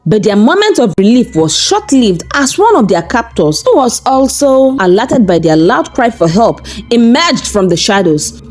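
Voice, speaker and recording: A woman's voice: fairly high pitch, big pitch swings, normal speed, hardly any pauses, darkish tone. A woman narrating a scripted folktale in a dramatic, suspense-building storyteller's delivery.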